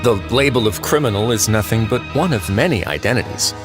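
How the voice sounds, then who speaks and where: A man voicing a calm, composed male prison warden in a video game, measured and wry as he reasons things out. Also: fairly low pitch, music in the background, close to an everyday voice. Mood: reassuring. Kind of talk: game voice acting.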